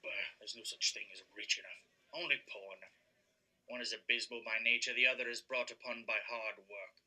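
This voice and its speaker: Bitter old miser, forceful cutting voice, curt, grumbling, scornful, audio play. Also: male voice, mid-range voice, fairly even intonation, fairly quick, poor audio. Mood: scornful, angry. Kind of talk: audio drama acting.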